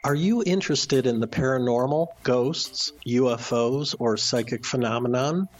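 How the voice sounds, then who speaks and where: A man's voice: medium pitch, moderate pace, over music. A man, a radio co-host, reading a scripted promotional announcement for his show.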